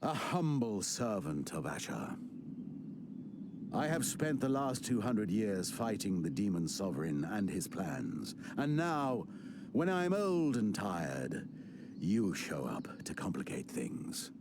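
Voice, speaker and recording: Older male wizard mentor, low and unhurried, lively inflection, solemn with dry humor, game cutscene over music. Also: background noise. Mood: grave. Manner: measured, solemn, dryly teasing. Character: ancient weary male wizard mentor, wry and grave. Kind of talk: video game cutscene acting. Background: game score music.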